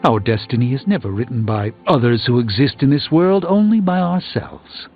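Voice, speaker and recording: An actor playing a learned, reassuring sorcerer in a video game, speaking in a formal, measured, philosophical way. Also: male voice, mid-range voice, lively intonation, close to an everyday voice. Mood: earnest counsel. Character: grave, learned, reassuring adult male sorcerer. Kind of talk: scripted video game character dialogue. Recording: tinny band-limited audio with music.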